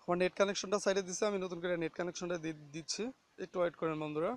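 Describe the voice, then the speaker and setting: A man's voice: high-pitched, fairly even intonation, rapid-fire, no echo. A man hosting a casual, step-by-step phone app tutorial, instructing listeners and often checking that they follow. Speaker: tutorial host.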